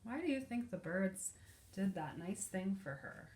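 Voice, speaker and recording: A woman's voice: medium pitch, animated, measured pace, a little mellow, slight background noise. A woman preschool teacher reading a picture book aloud to young children, explaining simply and asking them questions. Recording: echoey room.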